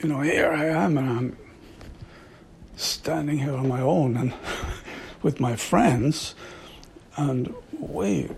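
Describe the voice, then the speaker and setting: A middle-aged man from Sweden, an author and devotee, talking reflectively in a slow, halting way with frequent soft laughs. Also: mid-range voice, gravelly, husky. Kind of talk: reflective monologue.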